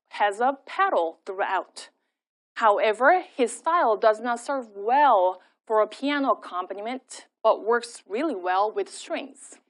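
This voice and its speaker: A woman lecturer giving an academic talk in a measured, explanatory way, her first language Korean. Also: mid-range voice.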